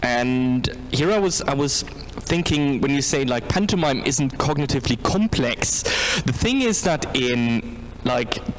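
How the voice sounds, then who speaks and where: Man, academic questioner. Medium pitch, tentative and halting, unscripted; faint noisy ambience behind. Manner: tentative, halting, thinking aloud. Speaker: academic audience member asking a question. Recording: clearly noisy, background room ambience.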